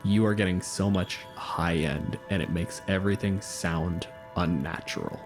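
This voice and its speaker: A man's voice: low-pitched, moderate pace, over music. A man running a tabletop roleplaying game, narrating the scene in a chatty, laid-back way with frequent fillers. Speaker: game master.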